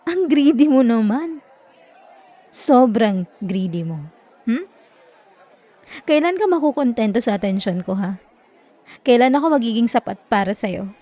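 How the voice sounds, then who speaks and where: A woman's voice: on the high side, animated, dark tone, a faint crowd. A Filipino woman playing a possessive, obsessive young student bully in a roleplay audio drama, condescending and taunting, with dramatic swings in delivery. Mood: frustrated, jealous. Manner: condescending, taunting, dramatic swings from slow murmurs to exasperated bursts. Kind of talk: roleplay ASMR acting, direct address to listener.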